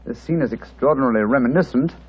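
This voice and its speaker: An actor playing a brisk, analytical English gentleman detective with a British accent, clipped and precise, in an old radio drama. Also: medium pitch, slightly rough, close to an everyday voice, low-quality recording. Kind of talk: 1940s radio drama acting. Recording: vintage 1940s radio recording.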